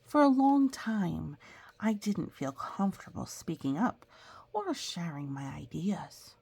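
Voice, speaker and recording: A woman's voice: lowish voice, very animated, slow, creaky, a little mellow, breathy edge, exaggerated voice. A woman voicing a cheerful, earnest cookie telling its life story from a children's picture book, with big storytelling emphasis. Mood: earnest, reflective.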